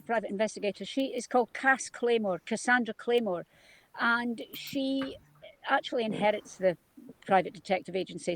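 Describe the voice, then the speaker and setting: A Scottish woman crime writer on a panel over a video call, lively and self-deprecating, a little tired early in the morning. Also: fairly high pitch, no echo, rough recording. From Scotland. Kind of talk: panel discussion.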